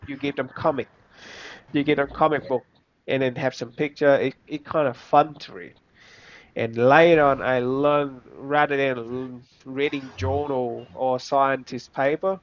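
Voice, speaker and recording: A young man from Vietnam with a Vietnamese accent, speaking English as a second language in a casual interview, halting, with many restarts. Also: mid-range voice. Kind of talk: casual reflective chat. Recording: slightly dull, dry recording.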